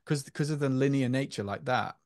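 A man's voice: mid-range voice, a little fast, dry recording, studio quality. A man co-hosting a podcast, talking in an earnest, musing way about spirituality and feelings. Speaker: podcast co-host. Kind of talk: casual spiritual chat.

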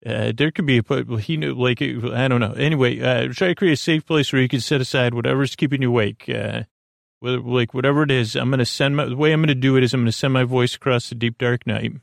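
A man hosting a bedtime podcast, talking in a creaky voice and wandering through tangents with many fillers. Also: medium pitch, fairly even intonation, fast, no echo, clean studio sound. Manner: meandering, full of tangents and fillers. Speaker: podcast host. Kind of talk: rambling bedtime podcast intro.

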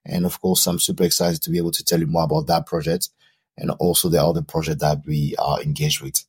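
Low, husky-voiced man from Cameroon; podcast guest giving long explanations. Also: little pitch movement, fast. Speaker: podcast guest, founder and CEO. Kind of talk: interview answers.

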